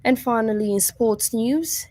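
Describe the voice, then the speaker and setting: A woman's voice: on the high side, measured pace, a little mellow, dry recording. Zambian woman reading the radio news. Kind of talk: scripted news bulletin reading. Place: radio studio.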